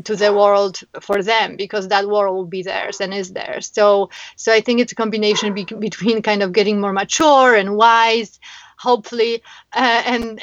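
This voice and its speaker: A woman's voice: medium pitch, fairly bright tone, no echo. Earnest Polish-accented woman, muffled and dry. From Poland. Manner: earnest, many fillers and restarts. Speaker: guest, founder. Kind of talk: casual interview chat. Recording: dull, dry sound.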